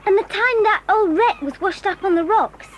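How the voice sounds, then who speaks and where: A woman's voice: very high-pitched, normal speed, muffled recording. A woman playing a sweet little girl, old noisy tape. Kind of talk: 1980s audio drama acting.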